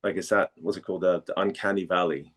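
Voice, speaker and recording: A man from Denmark chatting casually as an interview guest, haltingly searching for words and repeating phrases. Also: sits low, steady tone, a bit bright. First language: Danish.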